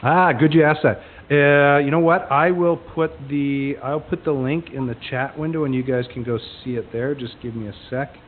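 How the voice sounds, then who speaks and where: A man with a mid-pitched voice co-hosts a webinar, talking casually and in a friendly way. His line is tinny and narrow, with some noise behind him. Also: steady tone, moderate pace, hardly any pauses. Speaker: co-presenter taking questions. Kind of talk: casual live webinar talk. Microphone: phone or web conference call.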